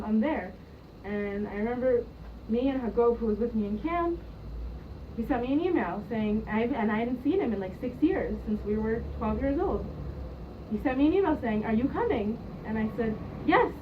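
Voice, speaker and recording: A woman's voice: fairly high pitch, animated, moderate pace. Young woman from Jerusalem, hesitant. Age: young adult. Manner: hesitant, many ums and restarts. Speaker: interviewee, youth program staff member. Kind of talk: casual spoken recollection. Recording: distant mic, muffled, noisy.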